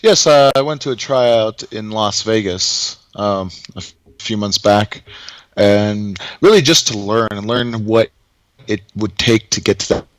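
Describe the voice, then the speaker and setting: A man, a pro wrestler, answering interview questions haltingly with many fillers. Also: lowish voice, dry recording, poor audio.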